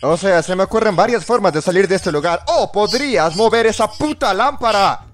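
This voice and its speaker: A man's voice: sits high, animated, brisk. A man narrating a scripted comedy parody in a sarcastic, exaggerated, exclamatory manner. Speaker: fandub narrator. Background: music.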